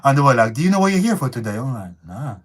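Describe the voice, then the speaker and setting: Hoarse man with a damaged voice. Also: medium pitch, racing. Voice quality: changed, hoarse voice from one working vocal cord. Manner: relaxed storytelling, addresses viewers as guys. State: talking hurts. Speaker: co-host of family channel. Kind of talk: casual live chat.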